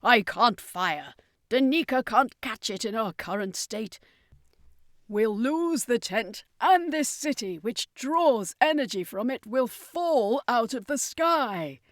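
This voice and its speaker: Woman voicing an urgent, forceful male circus master. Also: on the high side, expressive, slow pace, no echo, studio quality, pitched above the performer's own voice. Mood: desperate. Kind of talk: dramatised storybook character voice.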